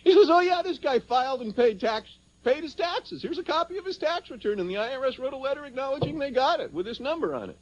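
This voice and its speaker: A man's voice: very high voice, lively intonation, quick pace. A man speaking to an audience at a seminar, persuasive and emphatic, telling anecdotes and posing rhetorical questions. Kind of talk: persuasive public seminar talk, addressing the audience. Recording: dull lo-fi seminar tape.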